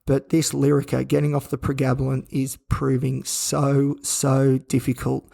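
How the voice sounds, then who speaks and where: A man hosting a solo podcast from a hospital room, his voice croaky and worn out, talking flatly and wearily with rambling restarts. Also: mid-range voice, a little slow. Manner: flat, weary, rambling with restarts. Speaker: podcast host. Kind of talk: candid solo podcast monologue. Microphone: own recording gear.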